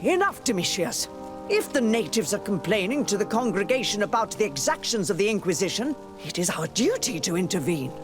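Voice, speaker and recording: A woman playing a stern, imperious high churchwoman in a video game, formal and commanding. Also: very animated, brisk, natural voice. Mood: stern, indignant. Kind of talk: video game dialogue acting. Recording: music behind the voice.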